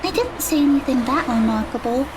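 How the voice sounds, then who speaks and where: Very high, hesitant teenage girl played by a woman. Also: expressive, brisk. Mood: uneasy, earnest. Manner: hesitant, apologetic. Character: timid, guilt-ridden, sweet teenage magical girl. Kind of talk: audio drama acting.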